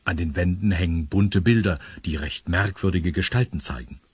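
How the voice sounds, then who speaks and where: A man with a husky voice reading scripted narration for a children's audio story. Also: sits low, moderate pace, no echo. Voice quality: husky, raspy. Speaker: narrator of a children's radio play.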